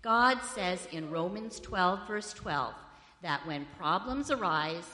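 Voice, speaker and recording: A woman leading a children's story at church, asking the children friendly questions in a loud voice. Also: lowish voice, expressive, slow. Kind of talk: children's sermon. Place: church sanctuary. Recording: echoey hall with long reverb.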